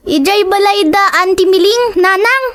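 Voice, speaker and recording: A very high, childlike voice of a young Filipino daughter. She speaks respectfully and dutifully to her mother, as a character in an audio drama. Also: moderate pace, fairly bright tone. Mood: childlike, obedient.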